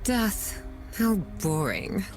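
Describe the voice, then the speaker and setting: A woman voicing a seductive, cruel demon huntress in a video game, purring and teasing with drawn-out delivery. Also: mid-range voice, sing-song. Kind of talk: video game character voice acting. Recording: game audio with music behind.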